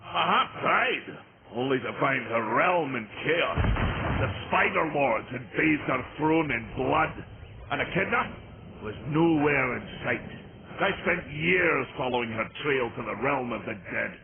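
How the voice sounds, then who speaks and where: A man voicing a weary old male warrior chieftain who tells his tale in a solemn, heavy-sighing way, with a gravelly voice. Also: mid-range voice, lively intonation, measured pace, heavy background noise. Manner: solemn storytelling, heavy sighs. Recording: tinny lo-fi game audio with music.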